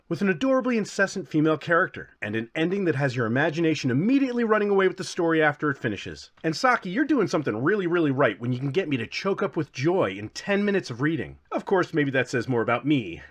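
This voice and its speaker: Animated, higher-voiced man reviewing games. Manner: theatrical, wry, self-deprecating. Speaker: host, game reviewer. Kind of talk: scripted comedic video review narration.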